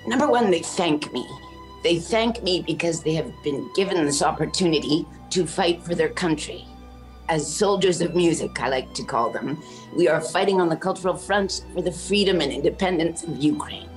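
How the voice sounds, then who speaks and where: A woman's voice: fairly low pitch, moderate pace, faint music behind, low-quality recording. A middle-aged Canadian woman, an orchestra conductor, speaking earnestly and with passion in a remote news interview over a video call from a room at home. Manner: earnest and impassioned.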